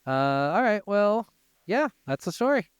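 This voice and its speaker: A man's voice: sits high, big pitch swings, a little slow, smooth, no room sound, lo-fi sound. A man hosting a gaming podcast, chatting casually and informally with some swearing. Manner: informal, profane. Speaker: podcast host.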